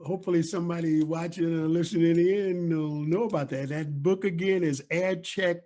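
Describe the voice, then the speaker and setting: Higher-pitched man hosting a casual interview. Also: fairly even intonation, moderate pace, dark tone. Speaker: interview host.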